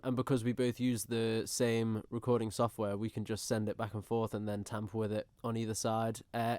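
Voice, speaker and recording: Young manx guy, smooth clear voice, laughs a lot, radio studio chat. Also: medium pitch, darkish tone, dry recording. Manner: laughing, easygoing. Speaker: guest singer. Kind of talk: casual radio interview.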